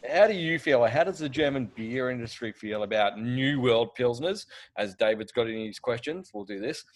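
A man's voice: mid-range voice, animated, normal speed. A man hosting a podcast, asking loose questions with plenty of fillers. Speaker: podcast host. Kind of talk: casual interview.

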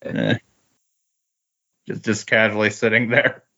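A man chatting casually about comics on a podcast, speaking in halting, tentative phrases. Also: fairly low pitch, flat delivery, creaky, pushed and bright, muffled recording.

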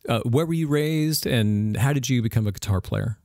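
Man, mid pitch, dry close sound, friendly host, stammers, laughs along. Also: moderate pace, a little mellow, dry recording. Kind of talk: casual interview talk.

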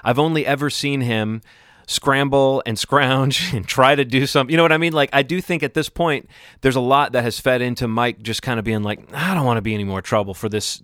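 A man's voice: mid-range voice, steady tone, brisk, a bit bright, clean tone, dry recording, clean studio sound. A man co-hosting a podcast, talking through a TV episode in a casual, rambling, analytical way. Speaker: podcast co-host. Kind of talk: casual TV recap discussion.

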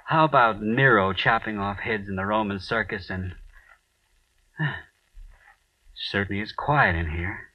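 An actor playing a troubled radio playwright who works late at night, agitated and halting as he mutters to himself in old-time radio drama style. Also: lowish voice, no room sound. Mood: restless, thinking aloud, then uneasy, brooding. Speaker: actor in a radio horror play. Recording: dull old radio recording, off mic.

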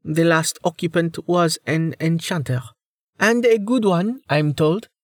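A man voicing a chatty, composed male realtor giving a professional house-tour pitch in an audiobook. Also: a little slow, no echo, studio quality. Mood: polished sales patter. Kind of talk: audiobook character dialogue.

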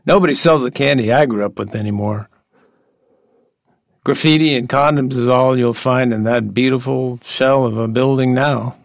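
An actor playing an odd, nostalgic exterminator in an audio drama, earnest and halting with a childlike sense of wonder. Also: male voice, mid-range voice, gravelly, dry recording, clean studio sound, lightly acted. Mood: wistful. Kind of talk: intimate audio drama acting.

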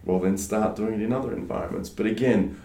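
A low-voiced man from Australia whose first language is French, speaking as an interview guest. He talks in a relaxed way, with many fillers and restarts. The room around him adds a noticeable echo. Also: quick pace, gravelly. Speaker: guest, startup advisor. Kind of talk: casual interview conversation. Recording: roomy echo.

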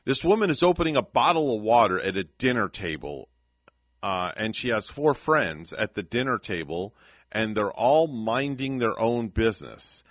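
A man's voice: fairly low pitch, animated, moderate pace, no room sound, highs cut off. An older man from New York co-hosting a morning radio show, joking and chatting in a playful, casual way. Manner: jokey, playful, slips into comic impressions.

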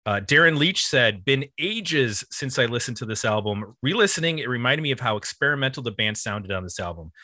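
A man with a bright, forward voice hosts a casual music podcast. His pitch sits in the middle of the male range and the sound is close and dry. He talks informally with a dry sense of humor. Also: expressive, fairly quick, hardly any pauses, dry recording. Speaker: podcast host. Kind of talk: casual music discussion, reading out listener comments.

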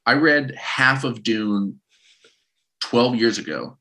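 Guy in his 30s on a podcast, bright tense voice, rambling animated stories. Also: medium pitch, slow. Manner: rambling, animated storytelling with self-restarts. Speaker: podcast co-host. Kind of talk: casual podcast chat.

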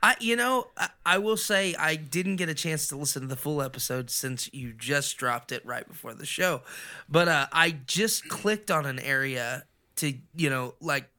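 A man's voice: fairly high pitch, moderate pace, bright, forceful tone. A man co-hosting a podcast, talking casually in an enthusiastic, rambling way. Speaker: podcast co-host.

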